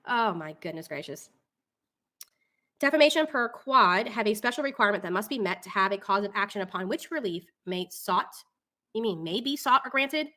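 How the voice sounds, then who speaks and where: A woman's voice: mid-range voice, lively intonation, normal speed, bright, forceful tone. A woman hosting a casual live talk show, reading documents and commenting in an exasperated, opinionated way.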